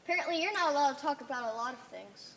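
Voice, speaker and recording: Outspoken, insistent girl outsider played by a woman; bright, lively intonation, distant-miked stage play. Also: high-pitched, racing. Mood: curious. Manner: plain-spoken, insistent, emphatic. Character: stubborn, outspoken, homesick young girl, an outsider. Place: theatre stage.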